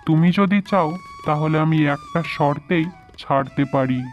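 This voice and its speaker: A man's voice: a little high, measured pace, muffled recording, natural voice. A man plays a magical snake from a fairy-tale cartoon. It speaks in human words with a menacing, testing manner. Faint music runs behind the voice. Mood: menacing, bargaining. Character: magical talking snake, menacing tester of travellers.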